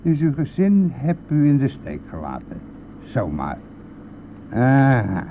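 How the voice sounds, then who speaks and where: An actor playing a cheeky, nosy, grumbling village bus driver in a radio play, with a teasing, blustering manner. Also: male voice, mid-range voice, huge pitch swings, slow, exaggerated voice. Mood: mocking, chatty. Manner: teasing, blustering, chuckling at own jokes. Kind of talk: Dutch radio play acting. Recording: tinny narrow-band old radio recording, vehicle noise.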